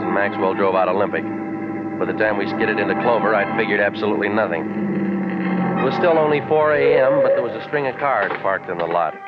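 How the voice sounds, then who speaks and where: An actor playing a cynical, wisecracking private detective in a 1940s radio drama, clipped and dry. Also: male voice, fairly low pitch, lightly acted. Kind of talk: 1940s radio acting, noir narration. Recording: lo-fi 1940s radio, music behind.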